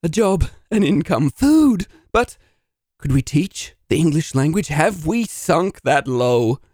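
Man as theatrical, self-pitying failed DM, lively swooping pitch, dry close mic. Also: takes it slowly, no room sound, full character voice. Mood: dramatic. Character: self-pitying failed male dungeon master. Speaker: narrator. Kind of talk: comedy audio drama.

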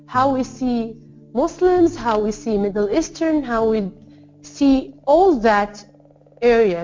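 A woman's voice: a little high, a little mellow, slight background noise. A woman gives personal testimony in an interview, speaking emphatically in repeated lists. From Syria. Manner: emphatic, builds repetitive lists. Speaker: interviewee, writer. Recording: slightly dull lo-fi sound.

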